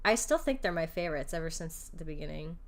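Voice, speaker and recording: A woman livestreaming a game, commenting and reacting casually in a jokey, animated, informal manner. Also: low-pitched, fast talker. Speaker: livestreamer, vtuber.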